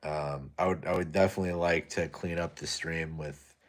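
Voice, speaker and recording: Young male streamer, very low soft voice, relaxed low-energy delivery. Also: normal speed. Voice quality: soft-spoken. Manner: tired, low-energy, laid-back. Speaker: live stream host. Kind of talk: casual live stream chat reading viewer comments.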